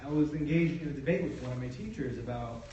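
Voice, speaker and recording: A man's voice: mid-range voice, quick pace, dark tone, slight background noise. Hesitant young man asking a question. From United States (New Jersey). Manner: hesitant, many fillers, polite. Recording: echoey hall, distant, dull sound.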